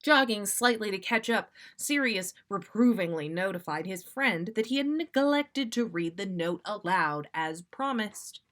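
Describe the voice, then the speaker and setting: A woman's voice: medium pitch, lively intonation, unhurried, hardly any pauses, a bit bright. A woman reading a story aloud expressively, as a podcast host would.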